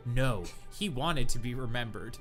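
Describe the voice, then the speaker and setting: A man's voice: mid-range voice, animated, normal speed, faint music behind. Man reading aloud with mock earnestness. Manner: reads with mock earnestness, breaks into laughter. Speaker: podcast host reading fanfiction aloud. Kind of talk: comedic dramatic reading with sarcastic asides.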